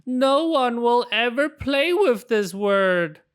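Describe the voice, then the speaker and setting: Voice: medium pitch, animated, takes it slowly, clean tone, pitched above the performer's own voice. A storybook reader voicing childlike little alphabet letters, earnest, exclaiming and easily upset. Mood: frustrated, upset. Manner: plaintive, exclaiming.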